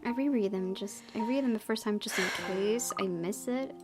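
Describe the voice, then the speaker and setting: A woman's voice: mid-range voice, normal speed. A woman reading visual novel narration aloud, breaking into casual asides as a gaming YouTuber. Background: background music.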